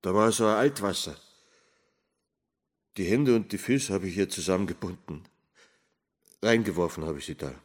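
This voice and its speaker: An actor playing a self-justifying, evasive Bavarian murder suspect in a radio play confession, speaking colloquially with a Bavarian accent. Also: male voice, sits low, moderate pace, long pauses. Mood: subdued, haunted.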